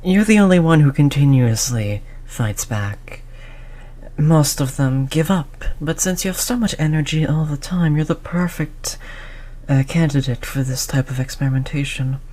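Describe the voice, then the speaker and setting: A performer of unclear sex playing a cold, condescending researcher who disciplines a captive test subject in a measured, mock-polite way, in an audio roleplay. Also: mid-range voice, warm tone, close to an everyday voice. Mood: patronizing, exasperated. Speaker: scientist running human experiments. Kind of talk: scripted audio roleplay drama.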